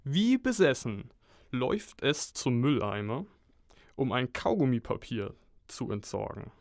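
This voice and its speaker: Casting: male storyteller, mid-pitched, dry close sound, dramatic mock-heroic narration for comedy audio drama. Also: very animated, measured pace, gravelly, darkish tone, no room sound.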